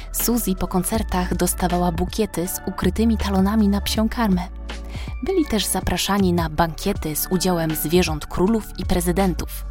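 A woman narrating a scripted children's story in a measured, expressive way. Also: medium pitch. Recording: music behind narration.

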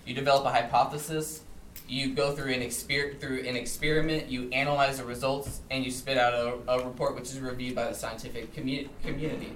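A man's voice: medium pitch, flat delivery, a little fast, fairly bright tone, slight background noise. Man speaking from afar in echoey room. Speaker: seminar speaker. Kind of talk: live seminar talk. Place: indoor seminar room. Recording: roomy, distant seminar recording with music.

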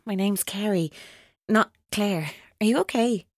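A woman acting in an audio drama, playing a scheming singer who seems kind and is quietly menacing, composed and pointed. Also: mid-range voice, measured pace, no room sound, studio quality. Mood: solicitous.

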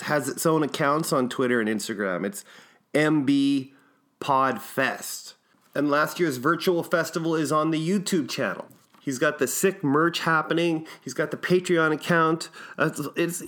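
Guy hosting a podcast, kinda high voice, super casual and slangy, really into it. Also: fairly bright tone. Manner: informal, enthusiastic, slangy. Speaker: podcast host.

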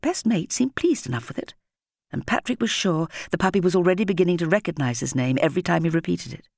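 A woman narrating a children's audiobook expressively, with deliberate, dramatic phrasing and emphasis on key words. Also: lowish voice, expressive, vocal fry, a little mellow, no room sound, studio quality.

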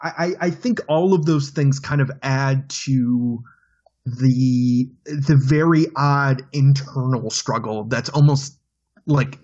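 A man on a film podcast, thinking aloud with many halting restarts. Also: mid-range voice, darkish tone, slightly rough, lo-fi sound. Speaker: podcast panelist. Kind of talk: casual film discussion.

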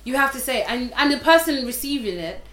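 A woman's voice: high-pitched, animated, fast talker, a crowd in the background. A young woman from Zimbabwe with an English accent, chatting candidly as a podcast guest, telling stories with frequent restarts. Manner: candid, storytelling, lots of restarts. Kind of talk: casual chat. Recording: roomy room echo.

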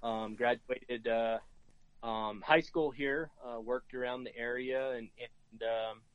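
A dry, slightly muffled recording of a middle-aged American man being interviewed. He speaks casually in a folksy, halting way. His pitch stays fairly flat from phrase to phrase. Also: dry recording. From New Mexico, United States. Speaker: podcast guest, game and fish officer. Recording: dry, dull lo-fi sound.

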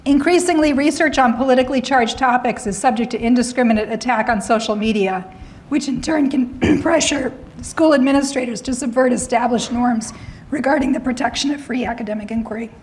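A middle-aged woman lecturing on academic freedom, slightly hoarse, often clearing her throat and adding wry asides. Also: on the high side, fairly quick, darkish tone, rough recording.

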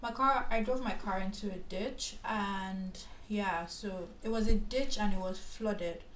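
A woman from Nigeria tells a story at an easy pace, chatty and animated, with plenty of "like" and "you know". Her voice sits mid-range and carries a noticeable roomy echo with a faint hiss behind it. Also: medium pitch, unhurried. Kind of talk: casual storytelling chat.

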